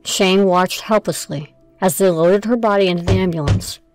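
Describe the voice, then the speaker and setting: A woman's voice: medium pitch, moderate pace, loud music behind the voice, rough recording. A woman narrating a scripted audio drama as its storyteller.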